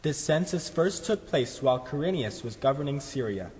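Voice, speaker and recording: Husky, animated little boy, played by a man. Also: on the high side, normal speed, big-room sound. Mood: reciting. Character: curious, excitable young schoolboy. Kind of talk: children's Christmas puppet show.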